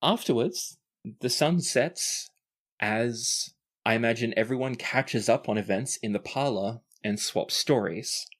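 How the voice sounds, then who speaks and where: A person with a medium-pitched voice, narrating a tabletop roleplaying game casually as game master. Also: unhurried, husky.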